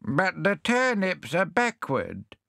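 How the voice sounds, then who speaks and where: A man voicing a deaf, muddled old male hedgehog gardener who talks slowly and vaguely. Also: sits high, huge pitch swings, creaky, pushed and bright, no echo, studio quality, pitched above the performer's own voice, heavily acted. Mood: befuddled. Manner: vague, drawn-out.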